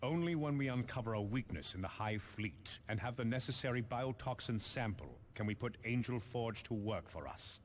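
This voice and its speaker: An actor playing a formal, resolute space marine captain giving mission briefings in a video game. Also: male voice, medium pitch, lively intonation, a little hiss or hum, close to an everyday voice. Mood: formal, firm. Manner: formal, commanding, deliberate.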